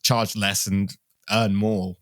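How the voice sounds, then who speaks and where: Dry-sounding man, slightly low voice. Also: flat delivery, takes it slowly, no room sound. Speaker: podcast interviewer. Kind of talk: casual interview chat.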